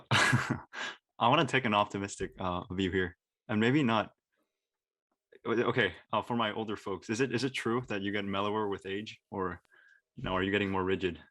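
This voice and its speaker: A man with a lowish voice and a dry, close sound chats casually as a panelist. He is informal and questioning, slightly self-deprecating. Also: fairly even intonation, moderate pace, bright, forceful tone, no room sound. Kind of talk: casual chat.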